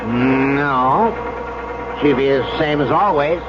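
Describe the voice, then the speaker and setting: A man's voice: medium pitch, slow, close to an everyday voice. An American man acting in a 1970s radio drama as a chatty, folksy cable car operator. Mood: defensive, alarmed. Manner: folksy tour-guide showmanship. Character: chatty male cable car operator, showy with tourists, defensive in private. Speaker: actor in a radio mystery play. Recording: muffled, noisy 1970s radio recording.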